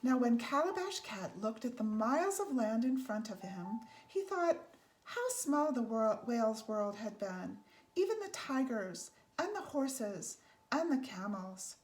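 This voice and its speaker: A woman reading a children's picture book aloud as a storyteller, in a measured, deliberate way with emphasized phrases. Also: a little high, very animated, darkish tone. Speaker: storybook reader. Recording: faint background noise.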